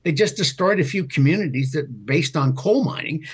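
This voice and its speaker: Husky, higher-pitched Canadian man talking politics. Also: animated, moderate pace. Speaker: political commentator guest. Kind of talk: conversational political commentary.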